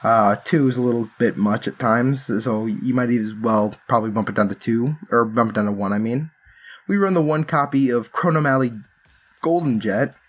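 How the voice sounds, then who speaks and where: A man's voice: mid-range voice, normal speed. A man giving casual, unscripted commentary on card game play and decks, explaining things and correcting himself as he goes. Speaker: card game channel host.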